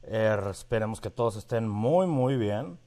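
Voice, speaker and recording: A man's voice: lowish voice, lively intonation, measured pace, mellow. Mexican man, northern accent, thirties, live stream host, chatty, home studio, desk mic. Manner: chatty, greets viewers by name. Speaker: audio engineer, livestream host. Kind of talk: live-streamed mixing tutorial.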